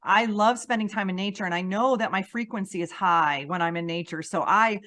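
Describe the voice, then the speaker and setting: Woman podcast host, mid-pitched, eager and conversational. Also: brisk, a bit bright. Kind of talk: casual interview chat.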